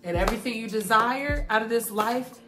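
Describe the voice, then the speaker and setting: A young woman vlogging in a casual, encouraging way, her voice hoarse and congested, talking straight to her viewers. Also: a little high, brisk, roomy sound, over music. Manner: encouraging, preachy, addresses viewers directly. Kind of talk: casual faith-filled catch-up talk.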